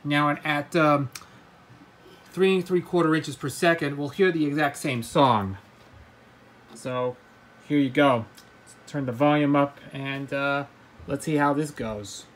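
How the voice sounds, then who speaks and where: A man with a voice a bit on the high side presents a casual hands-on demonstration. He speaks haltingly, doubling back on words and tripping over phrases as he explains things. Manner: halting, repeats words and stumbles. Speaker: hobbyist video presenter. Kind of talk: casual gadget demonstration to camera.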